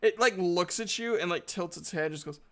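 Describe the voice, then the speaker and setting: A man narrating a tabletop game as game master in a halting, informal, slangy way. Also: high-pitched, a bit bright.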